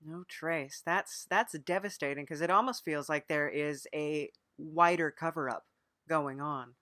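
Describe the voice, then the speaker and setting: A woman's voice: deep voice, unhurried, a bit bright, dry recording, clean studio sound. A woman interviewing on an improvised comedy podcast, playing along earnestly in a hesitant way, often repeating her phrases. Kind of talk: improvised mock-serious interview.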